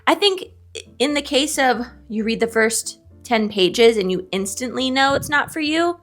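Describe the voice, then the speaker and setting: A woman's voice: a little high, expressive, measured pace, fairly bright tone, faint music behind. A woman co-hosting a casual book chat, candid and playfully dramatic in informal slang. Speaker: co-host of a book discussion video. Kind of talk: casual chat, trading confessed book opinions.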